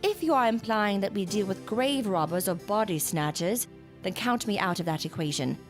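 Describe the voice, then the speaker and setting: A woman's voice: mid-range voice, expressive, normal speed, natural voice. Woman acting an earnest, exacting lady scientist, music behind. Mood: firm. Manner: formal, precise, lecturing diction. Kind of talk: period gothic audio drama acting.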